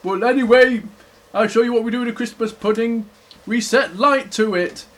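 A man's voice: very high voice, normal speed, pitched above the performer's own voice. A man voicing a fussy, scolding, fatherly big bear who exclaims and admonishes in a homemade children's story.

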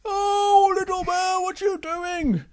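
A woman's voice: mid-range voice, expressive, takes it slowly, darkish tone, no room sound, clean studio sound. A woman voicing a big, protective grown-up male bear in an improvised children's story, fussy and full of warnings. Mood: alarmed.

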